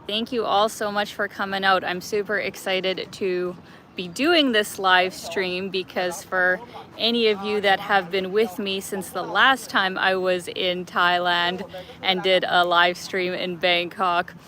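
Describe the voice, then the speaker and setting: A woman's voice: mid-range voice, steady tone, unhurried, sharp tone. A young woman hosting a travel live stream outdoors in a city park, chatty and laughing often as she greets viewers by name.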